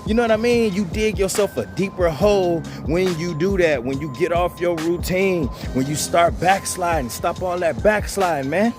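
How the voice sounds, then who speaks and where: A man with a slightly raised pitch talks straight to his viewers in a blunt, profane motivational monologue. He repeats his points and keeps calling them "bro". Music plays clearly behind him. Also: moderate pace, few pauses. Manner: blunt, profane, repetitive, calls listeners "bro". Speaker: channel host giving advice.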